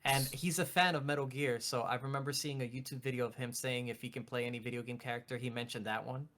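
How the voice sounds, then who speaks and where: Excitable man chatting casually. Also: mid-range voice, quick pace. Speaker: podcast co-host. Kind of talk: casual chat about video game movies.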